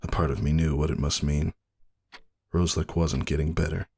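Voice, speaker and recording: A man giving a dramatic first-person story reading as narrator, husky and brooding in manner. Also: extremely low pitch, level tone, moderate pace, darkish tone. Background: faint music.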